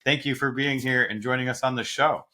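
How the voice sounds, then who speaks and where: A man's voice: mid-range voice, fairly even intonation, a little fast, bright, forceful tone. A man hosting a podcast interview, enthusiastic and upbeat, asking questions in a casual way. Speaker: podcast host. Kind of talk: scripted intro then casual interview.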